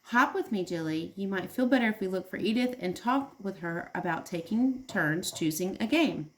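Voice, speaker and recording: A woman's voice: medium pitch, lively intonation, normal speed, fairly bright tone, a little hiss or hum, close to an everyday voice. A woman voicing a caring kangaroo mother in a picture book, sympathetic and coaxing as she offers help.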